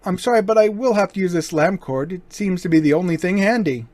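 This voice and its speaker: A man playing a suave, menacing gentleman burglar in an old-time radio crime drama, mock-courteous and taunting. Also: high-pitched, a little fast, background noise, natural voice. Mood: threatening.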